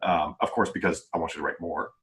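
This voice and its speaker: A man's voice: low-pitched, fairly even intonation, fast talker, fairly bright tone. A man chatting casually as a podcast host and publisher, earnest and rambling in unscripted talk. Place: remote group video call.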